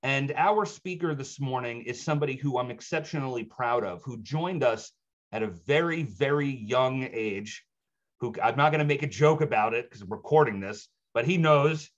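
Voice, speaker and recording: A middle-aged agency leader introduces a speaker with heartfelt pride, using a plain mid-range voice. The recording is dull and lo-fi. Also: male voice, medium pitch, moderate pace. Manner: heartfelt, proud. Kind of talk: warm stage introduction.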